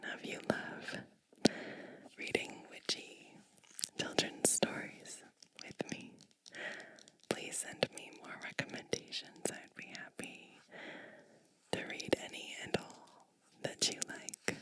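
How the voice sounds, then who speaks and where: A woman whispering in a hushed, unhurried way as she hosts an ASMR storybook reading, pausing between short phrases. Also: low-quality recording.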